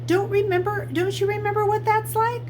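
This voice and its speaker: A nervous young schoolboy, voiced by a woman in a storybook reading. He sounds timid and earnest, pitched high, on a noisy recording. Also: steady tone, normal speed, darkish tone. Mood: pleading. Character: nervous, jumpy young boy, new at school.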